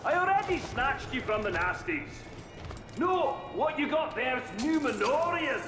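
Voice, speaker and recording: A man's voice: extremely high pitch, sing-song, measured pace, full character voice. A man voicing a wisecracking male dwarf tinkerer in a video game cutscene, talking in a teasing, offhand way. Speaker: inventor who helps the hero. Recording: cutscene with music behind.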